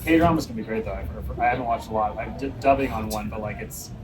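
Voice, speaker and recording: Man, relaxed, measured, teacherly, casual chat, voice set back from the mic, busy restaurant noise. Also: lowish voice, distant mic. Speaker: voice actor guest. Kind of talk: casual chat over a meal. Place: sandwich shop.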